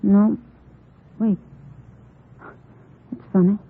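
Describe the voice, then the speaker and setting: A courteous office nurse in an old radio crime drama. Her voice is husky and helpful. The recording is muffled and narrow. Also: medium pitch, little pitch movement, very slow, full character voice. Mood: hesitant, helpful. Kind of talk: 1950s radio police drama acting.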